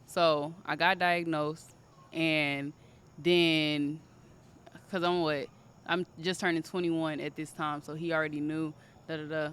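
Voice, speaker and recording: A woman in her twenties in casual conversation, telling a personal story in an animated way. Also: sits low, slow pace, clean tone. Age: 20s. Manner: animated storytelling, quotes others in raised voice. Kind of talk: casual chat.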